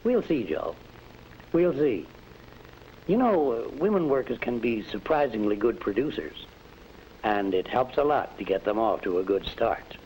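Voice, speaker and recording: Patronizing 1940s American factory boss. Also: male voice, medium pitch, sing-song, a little mellow, a little hiss or hum, low-quality recording. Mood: patient, instructive. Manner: measured, didactic, hesitating with uhs. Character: patient, patronizing factory boss instructing a foreman. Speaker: factory manager. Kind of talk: 1940s instructional film acting. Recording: vintage 1940s film soundtrack, music.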